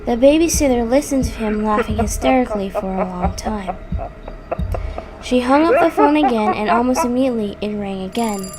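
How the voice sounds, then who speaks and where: A woman's voice: fairly high pitch, lively intonation, normal speed, over loud music. A woman reading a scripted horror story as its narrator. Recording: clearly audible background noise.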